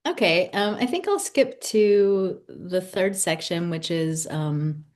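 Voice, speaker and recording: Casting: woman poet in her 50s, Italian as first language, notably low voice, for readings and talk about her work. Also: animated, slow pace, darkish tone. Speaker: poet, guest. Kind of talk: poetry reading and conversation about own poems.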